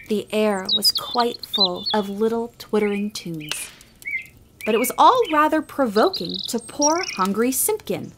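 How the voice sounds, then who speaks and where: A woman narrating a Christmas storybook in a gentle, expressive storytelling manner. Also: medium pitch, background noise. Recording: music behind the voice.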